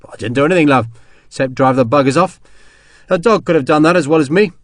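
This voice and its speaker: A rough young army sergeant from a historical storybook, acted by a male narrator. He talks in blunt, colloquial working-class phrases, chatty and reassuring. The sound is dry and close. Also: on the high side, fast talker, dry recording, natural voice.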